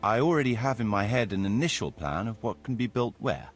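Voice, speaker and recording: An actor playing a formal, courtly medieval land surveyor in a video game, speaking precisely and deferentially. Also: male voice, fairly low pitch, lively intonation, brisk, soft background music, lightly acted. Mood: formal, assured. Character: formal, courtly medieval locator Marius, eager planner and surveyor. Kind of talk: video game cutscene acting.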